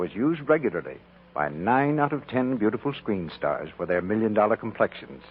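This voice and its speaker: Deep, animated male radio announcer. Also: husky. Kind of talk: scripted 1940s radio commercial announcing. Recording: dull, hissy 1940s radio recording.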